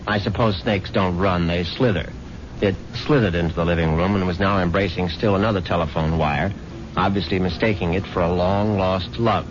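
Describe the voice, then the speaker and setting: A man's voice: low-pitched, close to an everyday voice. An actor playing a nervous, superstitious man who narrates his own story and often stammers. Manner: stammering, anxious. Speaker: first-person narrator. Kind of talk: 1970s radio drama acting. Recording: dull old radio recording, loud music.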